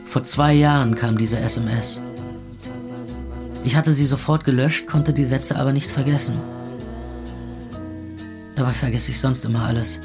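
A man's voice: mid-range voice, fairly even intonation, moderate pace, long pauses, natural voice. A man playing a gloomy, sarcastic young music club owner in a radio play, speaking colloquially and brooding. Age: young adult. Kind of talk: radio play acting and narration. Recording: music behind the voice.

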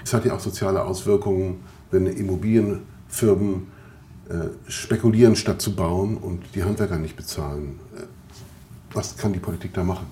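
A man asks interview questions in a lowish, dark and mellow voice, a little halting. He is recorded on location in an echoey room with faint background noise. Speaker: interviewer, journalist. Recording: roomy, noisy on-location interview.

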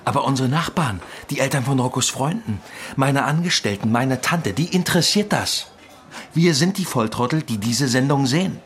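An actor in a radio drama playing a worried husband, irritated and defensive in a naturalistic way. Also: male voice, medium pitch, lively intonation, moderate pace, fairly bright tone, lightly acted. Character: worried, defensive husband and small business owner.

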